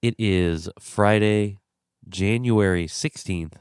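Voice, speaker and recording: Congested low-voiced man hosting a podcast. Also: takes it slowly, darkish tone, clear, resonant tone, no room sound, studio quality. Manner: rambling, frank, tired. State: stuffed up, congested. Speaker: podcast host. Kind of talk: casual solo commentary. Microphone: close podcast mic.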